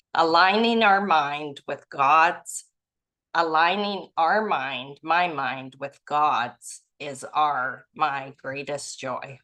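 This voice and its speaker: A woman from Canada leading a spiritual study group, reading passages aloud and musing on them gently. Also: deep voice. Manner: gentle, musing, softly laughing at herself.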